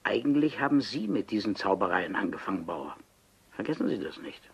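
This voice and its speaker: Earnest older gentleman, low voice. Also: sing-song, brisk, vocal fry, husky, highs cut off, heavily acted. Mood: insistent. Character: thoughtful older wine connoisseur, earnest chess partner. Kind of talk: German radio play dialogue.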